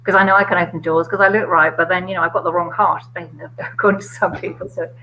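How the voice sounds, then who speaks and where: An older woman with a London middle-class accent, chatting casually about politics with many fillers and quick laughs. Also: on the low side, big pitch swings, muffled recording, slight background noise. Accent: London middle-class English. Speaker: guest, former MP.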